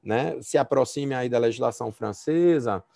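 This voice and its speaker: A man's voice: medium pitch, moderate pace, creaky, mellow, no room sound, clean studio sound. A Brazilian man, a law professor, giving a conversational university lecture and feedback over a video call, with frequent fillers and restarts.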